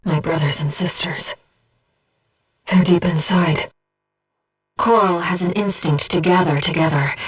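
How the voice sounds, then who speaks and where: A woman voicing a gentle, disembodied female being who guides a pilot in a video game, speaking in a composed, earnest and precise way. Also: lowish voice, breathy edge, recorded from a distance, rough recording. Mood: wistful, sorrowful. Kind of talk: video game mission support voice acting.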